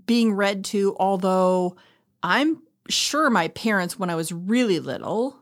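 A woman's voice: medium pitch, slow pace. A middle-aged woman talking casually and reflectively, explaining things in an earnest, conversational way as a co-host. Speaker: podcast co-host, former elementary school teacher.

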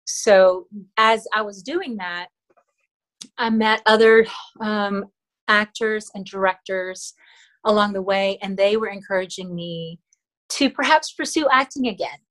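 A middle-aged woman from the Philippines, Tagalog her first language, speaks as a podcast guest at a medium pitch. She talks earnestly and warmly, with frequent fillers and soft laughs. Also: unhurried, rough recording. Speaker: actress, interview guest. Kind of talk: casual interview talk.

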